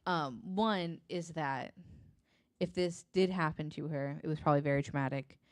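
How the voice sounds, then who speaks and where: A woman with a low-pitched voice tells a story as a podcast guest. Her delivery is conversational and a little hesitant, with pauses as she picks up the thread. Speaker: podcast guest telling a true-crime case.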